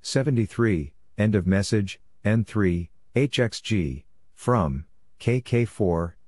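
A man doing a scripted readout of call signs and short radio phrases as a practice narrator, in a deliberate, clipped manner. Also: on the low side, expressive, a little mellow. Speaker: Morse code practice narrator.

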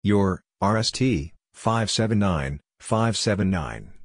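Low-voiced man reading practice phrases, close and dry. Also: animated, no echo. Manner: measured, spelling out letters and digits one by one. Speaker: narrator of a Morse code practice drill.

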